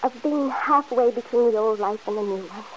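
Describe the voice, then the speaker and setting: A woman's voice: a little high, moderate pace, highs cut off, close to an everyday voice. An actress playing a fretful, guilt-torn young mother in an old-time radio soap opera, hesitant and pleading. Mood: anxious, wistful. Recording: hissy vintage radio broadcast recording.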